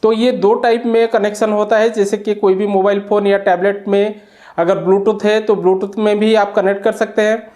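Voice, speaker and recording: A man's voice: sits high, little pitch movement, moderate pace, darkish tone. A man giving a product demonstration and review, explaining plainly step by step.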